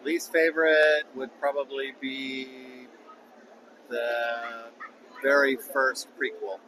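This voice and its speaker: Easygoing fan in a noisy hall. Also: male voice, mid-range voice, level tone, slow. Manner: easygoing, hesitant. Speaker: convention attendee being interviewed. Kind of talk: casual interview answers. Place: busy convention hall.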